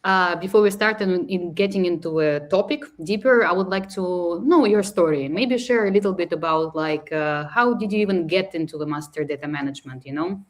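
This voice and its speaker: A woman's voice: fairly low pitch, fairly quick, hardly any pauses. A woman hosting an online session who chats casually, reads viewer comments aloud and laughs often. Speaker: host.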